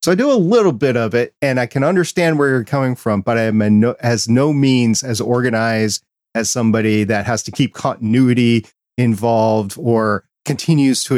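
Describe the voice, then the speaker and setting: A man's voice: medium pitch, darkish tone, no room sound, studio quality. A middle-aged man hosting a podcast, chatting casually and putting questions to his guest. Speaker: podcast host, interviewer. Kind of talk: casual interview chat.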